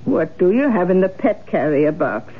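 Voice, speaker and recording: An actress playing a fussy, doting older woman in a radio drama, gently chiding with fluttery hesitations. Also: fairly low pitch, big pitch swings, normal speed, soft background music. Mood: fussy dismay. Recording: tinny, hissy old radio drama.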